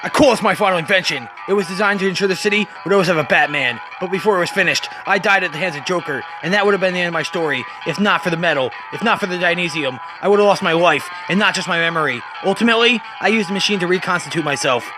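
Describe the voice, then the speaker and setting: A man acts out a brooding masked comic-book hero. The voice drops lower and turns grave and secretive, speaking solemnly with music clearly behind it. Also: lightly acted. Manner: grave, solemn, deliberate dramatic delivery.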